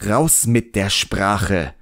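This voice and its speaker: A man's voice: on the low side, very slow, a bit bright, no echo, full character voice. An impatient boy sorcerer's apprentice, played by a male reader in a fantasy audiobook. He gives curt, demanding orders and questions in a dry recording.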